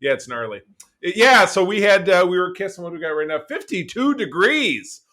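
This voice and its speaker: Casting: American man, 40s, bright punchy tone on the higher side, exuberant casual podcast banter. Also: big pitch swings, a little fast. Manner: exuberant, joking, rambling. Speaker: podcast co-host. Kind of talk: casual chat.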